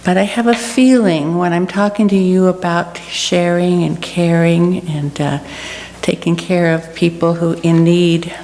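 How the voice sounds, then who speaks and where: A woman's voice: lowish voice, steady tone, unhurried, a little mellow. A woman giving an informal address to a congregation as a guest speaker. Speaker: guest speaker, charity board president. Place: church sanctuary. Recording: reverberant hall with faint noise.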